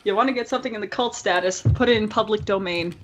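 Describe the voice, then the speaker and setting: A woman's voice: medium pitch, fast. A woman co-hosting a podcast in casual chat, informal and opinionated. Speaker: podcast co-host.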